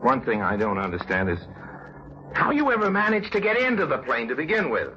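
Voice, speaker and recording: An actor playing a brash, sardonic smuggler pilot in an old-time radio drama, bossy and wry, with wordy delivery. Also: male voice, medium pitch, wildly swooping pitch, brisk. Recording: noisy, muffled old-time radio recording.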